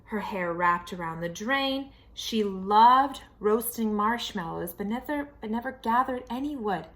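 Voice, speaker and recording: A woman's voice: mid-range voice, animated, a bit bright. Woman reading a picture book expressively. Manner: dramatic emphasis and pauses. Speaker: children's storybook reader.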